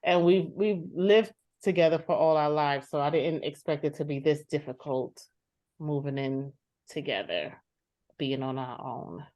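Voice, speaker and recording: An actress playing a protective older sister in a therapy session, speaking hesitantly and trailing off. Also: female voice, sits low, a little slow, a little mellow. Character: protective older sister, young adult woman, weary. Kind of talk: improvised dramatized therapy session.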